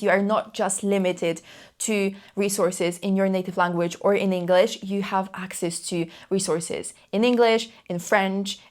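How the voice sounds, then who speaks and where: A young woman whose first language is Polish, talking to camera in a chatty, enthusiastic way and often repeating herself for emphasis. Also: mid-range voice. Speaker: language learning YouTuber. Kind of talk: casual talk to camera.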